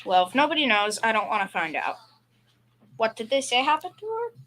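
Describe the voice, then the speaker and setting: A woman's voice: fairly high pitch, big pitch swings, a little fast, fairly bright tone, a little hiss or hum. A young female performer playing a blustering, secretly scared father with folksy, hesitant speech in a school old-time radio comedy. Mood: uneasy. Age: young teen or child.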